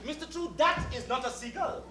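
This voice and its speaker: An actor with a Caribbean accent playing a proud, sardonic servant who bosses like a director in a stage comedy, mock-polite and emphatic. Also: male voice, very high voice, fairly quick. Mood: mock indignant. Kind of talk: live satirical stage comedy acting. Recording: echoey far-off stage recording, humming noise.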